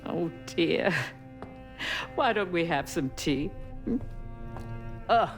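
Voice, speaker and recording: An older Southern lady speaks very slowly, with a coy, condescending courtesy that hides menace. It is a game cutscene performance, with music clearly under the voice. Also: on the low side, expressive. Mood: coy, probing. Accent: American South. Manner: measured, coy, condescending politeness. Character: genteel, menacing older Southern matriarch with secrets. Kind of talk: video game cutscene acting.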